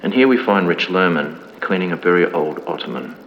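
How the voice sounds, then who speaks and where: A man playing a solemn 1950s documentary narrator, reading a scripted horror mystery in a formal, measured, grave manner. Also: deep voice, expressive. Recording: dull lo-fi, long reverb, clear background noise.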